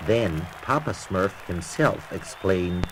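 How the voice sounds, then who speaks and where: A man's voice: deep voice, expressive, slow, a faint crowd, slight background noise, poor audio. A man reading scripted narration for a children's read-along storybook record. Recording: children's record with music behind. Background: music.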